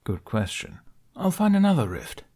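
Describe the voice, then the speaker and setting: A man voicing a protective young man who talks in firm, hushed reassurance in an audiobook fan reading. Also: medium pitch, sing-song, normal speed, husky, voice pushed up. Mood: reassuring.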